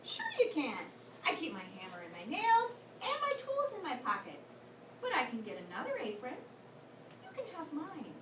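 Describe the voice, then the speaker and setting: A woman's voice: sits high, huge pitch swings, normal speed. A woman voicing a friendly, easygoing workman in a storybook. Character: friendly handy workman in an apron. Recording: echoey room, far from mic, background noise.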